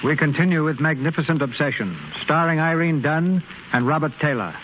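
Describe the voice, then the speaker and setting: A man, a radio announcer, giving formal, measured, scripted narration for a 1930s radio play. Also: a little high, slight background noise. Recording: tinny 1930s radio broadcast with loud music.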